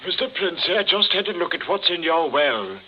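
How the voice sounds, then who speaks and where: An actor playing a skeptical village constable with a husky voice, dry and understated, in 1940s radio suspense style. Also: male voice, on the high side, big pitch swings, brisk. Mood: dryly skeptical. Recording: muffled old radio drama recording.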